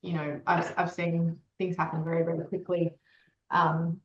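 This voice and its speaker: A woman's voice: on the low side, monotone, normal speed. A woman co-founder and former marketer, talking reflectively with many fillers in a casual interview over a video call. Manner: hesitant, filler-heavy, reflective. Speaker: co-founder of an AI creative tool, ex-marketer. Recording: roomy, dull lo-fi call audio.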